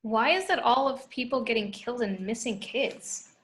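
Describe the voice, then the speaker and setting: A woman's voice: on the high side, expressive, normal speed, fairly bright tone. A woman reading as a curious teenage girl, asking questions in a table read.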